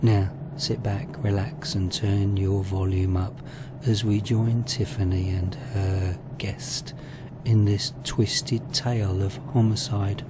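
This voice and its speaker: A man presenting a horror audio drama as narrator, in an ominous, deliberate, theatrical style. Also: lowish voice, level tone, noisy background.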